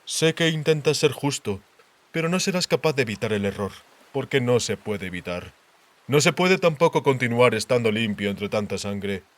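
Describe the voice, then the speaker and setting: A man voicing a grave, worldly king in an audiobook, speaking in a measured, deliberate, cautionary way. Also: mid-range voice, expressive, lightly acted. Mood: grave warning. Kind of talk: audiobook character dialogue.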